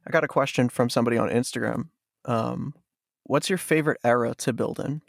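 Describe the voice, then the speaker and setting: Gravelly-voiced male podcast host. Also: medium pitch, no room sound, clean studio sound. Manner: relaxed, asks questions, quick agreeing backchannels. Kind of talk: casual interview chat.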